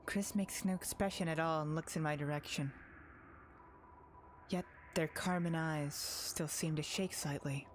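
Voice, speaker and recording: A woman's voice: deep voice, steady tone, unhurried, a little hiss or hum. A woman reading dramatic first-person narration from a visual novel as a voice-over. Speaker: voice-over reader.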